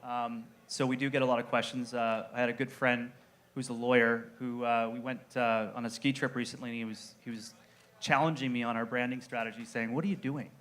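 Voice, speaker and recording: Man, brewery rep, mid pitch, relaxed, anecdotal, fillers. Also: brisk. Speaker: brewery representative on a panel. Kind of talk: panel discussion answer. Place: event room with an audience.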